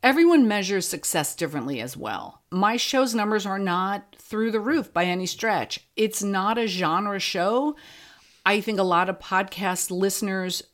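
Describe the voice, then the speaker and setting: Podcast guest, female, medium-pitched voice, casual and plain-spoken, encouraging conversational delivery. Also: unhurried. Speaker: podcast guest, fiction podcast creator.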